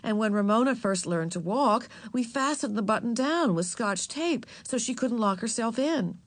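A woman's voice: a little high, expressive, normal speed, no room sound, pitched above the performer's own voice, close to an everyday voice. A woman voicing a stern, exasperated mother in a storybook, firm with her children. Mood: explaining. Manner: scolding, firm.

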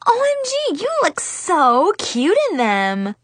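Woman doing a squealing, over-enthusiastic schoolgirl, high-pitched. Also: big pitch swings, moderate pace, dry recording. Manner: squealing, gushing.

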